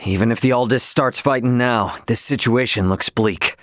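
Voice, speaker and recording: An actor playing a brash, hot-headed but loyal young starship captain in a video game, with a blunt, cocky, slangy delivery. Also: male voice, medium pitch, normal speed, no echo, clean studio sound, close to an everyday voice. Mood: serious, businesslike. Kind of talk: voiced video game character lines.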